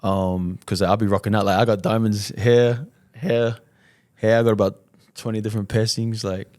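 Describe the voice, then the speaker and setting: A man's voice: lowish voice, little pitch movement, moderate pace, darkish tone, no echo, studio quality. A man from Fiji chatting casually as an interview guest, in slangy, informal speech full of "man" and "you know". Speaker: podcast guest, entrepreneur. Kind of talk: casual chat.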